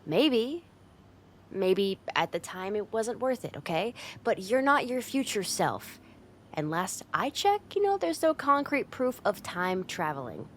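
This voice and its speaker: A woman's voice: medium pitch, big pitch swings, unhurried, a little hiss or hum. A woman playing a tough but secretly caring delinquent girl in an ASMR roleplay, talking bluntly and teasingly to the listener. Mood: stern encouragement. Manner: blunt, teasing, streetwise, with hesitant softening.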